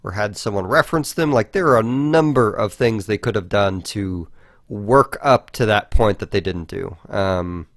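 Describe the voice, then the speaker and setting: Dude podcast host, lowish voice, thinks out loud about games, sweary, very dry recording. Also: male voice, normal speed, no echo. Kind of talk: casual video game discussion.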